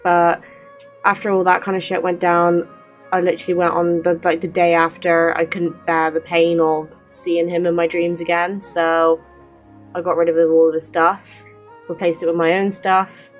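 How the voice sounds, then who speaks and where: A woman's voice: lowish voice, flat delivery, normal speed. A woman in her twenties chatting casually and candidly as she plays a game, speaking into a desk mic. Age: 20s. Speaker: streamer playing a game. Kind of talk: casual chat over gameplay, reading dialogue aloud. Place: small bedroom with gaming setup. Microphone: close desk microphone. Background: game music.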